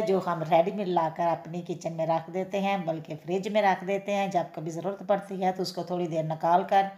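A woman's voice: on the low side, darkish tone. A woman, chatting casually to viewers in a home vlog and repeating her favorite filler phrases. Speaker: cooking and daily-life vlogger. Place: home kitchen, then outdoor street fair. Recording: echoey room sound.

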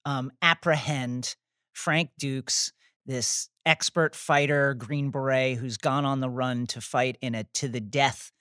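A man's voice: medium pitch, fairly even intonation, slow, pushed and bright, smooth, dry recording. A man in his thirties bantering casually as a podcast co-host, close to a studio mic. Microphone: broadcast mic on a boom arm, close.

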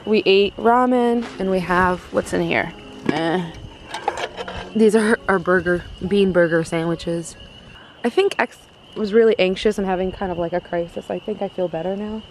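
A woman's voice: lowish voice, normal speed, a little hiss or hum, rough recording. A young woman chatting casually into a handheld camera on a camping trip, laughing often. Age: young adult. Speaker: vlogger. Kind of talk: casual vlog chat.

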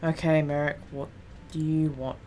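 A woman with a slightly raspy voice doing joking let's-play commentary over a game. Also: sits low, level tone, normal speed, crowd noise. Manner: laughing, joking, swearing. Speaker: gaming youtuber.

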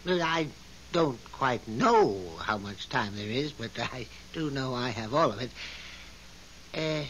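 A man's voice: medium pitch, lively intonation, slow, fairly bright tone, rough recording. A man playing an absent-minded, musing elder of the afterlife, vague and distracted, in 1970s radio drama style. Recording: hissy 1970s radio recording.

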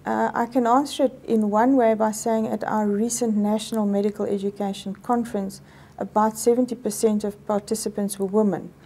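A middle-aged South African woman whose first language is Afrikaans, a medical professor giving thoughtful, measured answers in an indoor interview. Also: mid-range voice, steady tone, mellow, husky. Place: office interview set, indoors.

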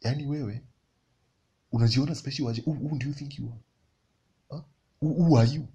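Dude gossiping on his phone, gravelly and super raspy. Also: mid-range voice, a little fast, mellow. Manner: casual, gossipy. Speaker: comedian. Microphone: phone selfie camera close up.

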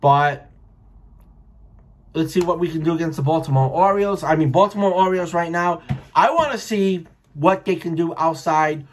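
A man's voice: on the high side, normal speed. An American man in his thirties giving casual, blunt, opinionated sports commentary with plenty of swearing, recorded at home on a webcam. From Rhode Island, United States. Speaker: sports vlogger, baseball fan. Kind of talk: casual post-game reaction commentary. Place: small furnished room at home.

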